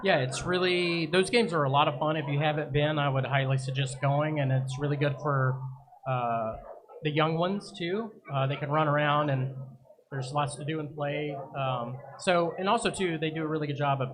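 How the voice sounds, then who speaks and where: A man co-hosting a live soccer show, talking in a relaxed, easygoing way. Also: a little high, moderate pace. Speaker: podcast co-host. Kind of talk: live casual soccer chat. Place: busy brewery. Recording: noisy live bar recording. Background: crowd chatter.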